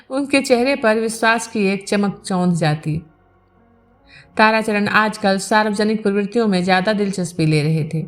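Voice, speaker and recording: A woman narrating a village novel aloud as a storyteller, reading from a script. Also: mid-range voice.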